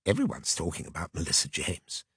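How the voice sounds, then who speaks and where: A man's voice: sits low, huge pitch swings, fairly quick, a bit bright, slightly rough, no room sound, studio quality, full character voice. A man voicing a deferential, tactful female secretary in an audiobook. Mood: prompting. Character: brisk, deferential female secretary, Miss Kane. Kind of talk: audiobook character voice.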